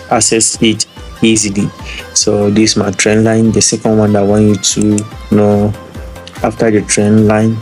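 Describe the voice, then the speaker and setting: A man from Nigeria with a Nigerian accent, casually walking through a screen-share tutorial and often repeating his words. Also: fairly low pitch. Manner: rambling, repeats words, chuckles. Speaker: trading tutor.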